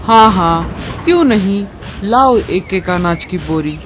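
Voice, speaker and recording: A woman's voice: medium pitch, lively intonation, takes it slowly, background noise. A woman voicing a greedy, threatening eagle who runs a fairground ride in a cartoon, hawking and scolding. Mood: eager. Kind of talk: cartoon story dialogue.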